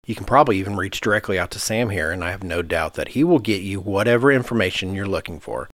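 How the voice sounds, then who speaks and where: A man's voice: low voice, lively intonation, fast, a bit bright, no room sound. A man hosting an interview podcast in a conversational style. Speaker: podcast host.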